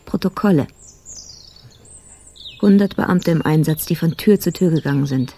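A woman playing a sober, troubled police investigator in a radio drama, talking in clipped fragments with heavy pauses. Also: low-pitched, warm tone. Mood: sober, frustrated.

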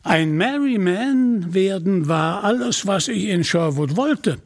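A man's voice: high-pitched, expressive, slow pace, full character voice. A man voicing a hearty, honest, gluttonous outlawed friar from a storybook, grumbling and frank. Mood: frank confession.

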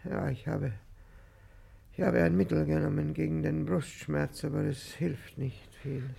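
An actor playing a sick, confused owner of a country estate in a 1950s German radio play, halting and repeating himself in a gravelly voice. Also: male voice, a little high, fairly even intonation, mellow, exaggerated voice. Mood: faltering, weary. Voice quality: gravelly, creaky. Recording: 1950s radio drama recording.

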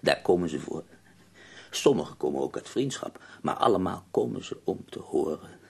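A man acting in a Dutch radio play as an ailing, nearly blind poet, husky and gravelly, formal, tender and wry. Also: low-pitched, lively intonation, normal speed, full character voice. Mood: wry, reflective.

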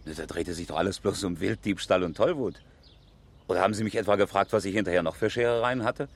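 A man's voice: deep voice, fast. A man acting as an engineer and amateur rally driver in a 1970s German radio crime drama, flippant and defensive in manner. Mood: agitated, defensive.

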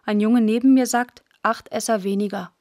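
A matter-of-fact girl narrator in a radio play, played by a woman. Her voice sits fairly high and close in a dry studio, and she speaks in short, observant sentences. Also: steady tone, normal speed, a little mellow, dry recording, natural voice. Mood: sober, observant. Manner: short, clipped declarative sentences.

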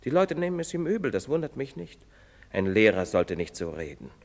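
An actor playing a paternal, reproving village priest in a German stage drama, speaking in a measured, moralising manner. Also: male voice, mid-range voice, very animated. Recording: echoey theatre-style hall reverb.

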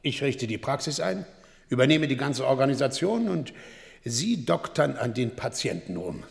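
A man in a German radio play, playing an eccentric elderly gentleman investor, excitable and emphatic, repeating himself. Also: medium pitch, moderate pace, vocal fry, big-room sound. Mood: wheedling, sly. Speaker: actor in a radio drama.

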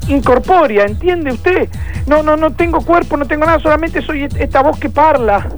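Man acting a frantic, high-pitched trapped caller. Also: faint music behind. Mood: anxious, bewildered. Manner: pleading, halting, polite. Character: distressed male radio caller trapped bodiless inside a phone call. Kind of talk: improvised radio theatre.

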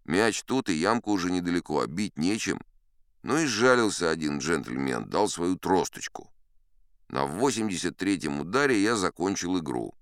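Deep, swooping, boastful old-salt sea captain. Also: male voice, very animated, clean tone, dry recording, clean studio sound. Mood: animated recounting. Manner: conversational, wry, unhurried raconteur with dramatic pauses. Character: boastful seasoned sea captain telling tall tales. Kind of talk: theatrical first-person audiobook storytelling.

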